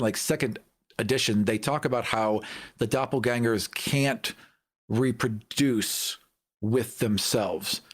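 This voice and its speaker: A man on a podcast panel, making emphatic, joking arguments in casual tabletop gaming chat. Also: medium pitch.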